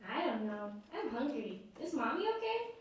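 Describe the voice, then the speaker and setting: A woman's voice: on the high side, sing-song, moderate pace. A female performer playing an innocent, anxious little girl with childlike, questioning speech in an amateur stage play. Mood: worried. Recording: echoey stage, distant mic, faint noise.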